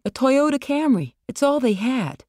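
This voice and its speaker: A reader voicing a high, gentle, boyish man. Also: very animated, normal speed, no echo. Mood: eager, reassuring. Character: gentle, boyish, eager-to-please male celebrity on the run.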